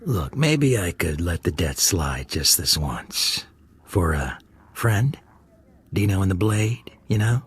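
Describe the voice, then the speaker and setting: Game role: sleazy smuggler con man. Rough-edged, very animated delivery, chummy and insinuating. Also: sits low, slow, heavily acted. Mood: oily, flirtatious. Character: sleazy, wheedling male space-smuggler swindler, falsely chummy. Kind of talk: video game voice acting.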